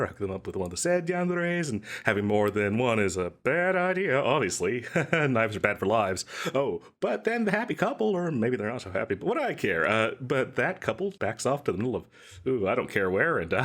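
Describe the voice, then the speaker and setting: A man's voice: mid-range voice, a bit bright. A man playing a nervous, over-eager, shady matchmaking salesman in a comedic audio roleplay, rambling with jittery, hesitant asides. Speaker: voice actor performing a scripted audio roleplay.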